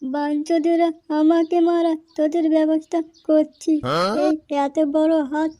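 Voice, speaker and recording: A man voicing a blustering, slow-witted strongman in an amateur comedy cartoon, with artificially raised pitch. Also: level tone, dark tone. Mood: sulky, blustering. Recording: noisy amateur recording with clicks.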